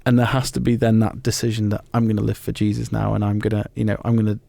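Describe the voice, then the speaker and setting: A man's voice: lowish voice, level tone, fast talker, gravelly, warm tone, no echo. A man hosting a podcast, talking conversationally about faith in an earnest, explanatory way. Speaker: podcast host. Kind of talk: conversational talk on faith and football.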